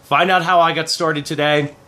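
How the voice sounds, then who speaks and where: A man in his forties speaks in a fairly high, bright and forceful voice while fighting a head cold. He delivers a casual, rambling online pitch, often doubling back to rephrase what he just said. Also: steady tone, moderate pace. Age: 40s. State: head cold, clearing throat. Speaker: affiliate marketing reviewer.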